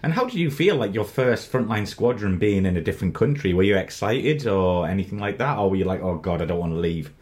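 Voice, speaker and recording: A man's voice: on the low side, animated, a little fast, hardly any pauses, darkish tone. A man hosting a casual interview, asking questions in informal, somewhat hesitant phrasing. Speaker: interviewer, host.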